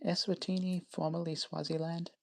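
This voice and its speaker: A woman from Canada on a casual live stream, naming items one at a time in short, unhurried phrases. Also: lowish voice, level tone, vocal fry, a little mellow.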